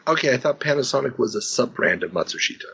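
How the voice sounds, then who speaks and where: Male podcast host, chatty and self-mocking, husky voice, dull lo-fi sound. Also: mid-range voice, animated, fast, a bit bright. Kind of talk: casual chat. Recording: slightly dull lo-fi podcast audio.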